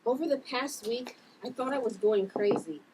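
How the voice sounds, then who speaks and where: A woman's voice: fairly high pitch, normal speed, natural voice. A woman reading an anxious, bewildered sister at a drama table read. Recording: distant mic, faint background noise.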